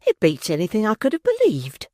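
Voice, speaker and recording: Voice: mid-range voice, huge pitch swings, fairly quick, a little mellow, no echo, clean studio sound, pitched above the performer's own voice. A reader voicing a fawning, gushing middle-aged workhouse matron in a raised voice. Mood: flattering.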